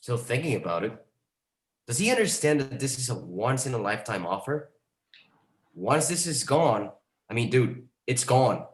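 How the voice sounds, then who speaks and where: An impatient dealmaker acted by a man with a mid-pitched voice, reading from a script. He is curt, casual and pushy, and the room echoes around him. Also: sing-song, moderate pace, natural voice. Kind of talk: screenplay table read.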